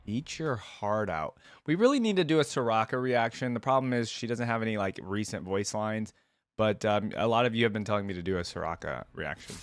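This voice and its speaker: A man with a fairly low voice comments casually as he hosts a reaction video. He muses and speculates out loud in a conversational way. The sound is dry, with little room. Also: animated, a little fast, clean tone, dry recording. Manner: musing, conversational, speculating aloud.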